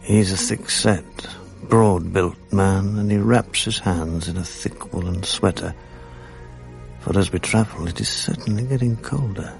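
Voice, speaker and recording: A man's voice: low-pitched, a little slow, creaky, faint music behind. An actor playing an ailing, grieving, lonely man speaking his thoughts in a subdued, reflective radio drama monologue. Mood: brooding, haunted.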